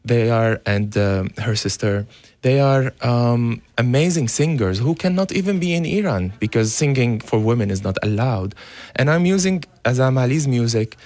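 A man's voice: medium pitch, expressive, normal speed, darkish tone, no echo. Earnest young man, dry. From Syria. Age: young adult. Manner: earnest, pleading, many fillers. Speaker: guest, dance teacher. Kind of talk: radio interview. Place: radio studio.

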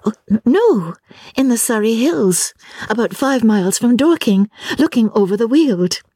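A woman's voice: fairly high pitch, animated, measured pace, dark tone, no room sound, studio quality, voice pushed up. A woman voicing a naive, polite young English lady in a novel, eager, proper and a little flustered. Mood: flustered, earnest.

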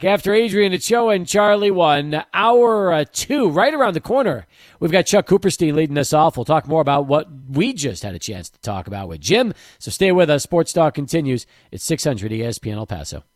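A man hosting a sports radio show, energetic, setting up segments and asking guests questions. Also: on the high side, moderate pace, no echo, clean studio sound.

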